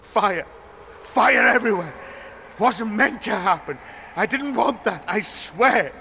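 An actor playing a nervous, guilt-ridden former soldier in a fantasy video game, halting and half broken. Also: male voice, high voice, expressive, vocal fry, pushed and bright, slight background noise, exaggerated voice. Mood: panicked pleading.

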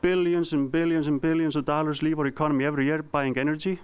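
Icelandic-accented man, smooth and resonant. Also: on the high side, level tone. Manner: earnest, halting with frequent ums and restarts. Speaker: company founder giving a presentation. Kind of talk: conference presentation. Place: conference room. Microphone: handheld mic.

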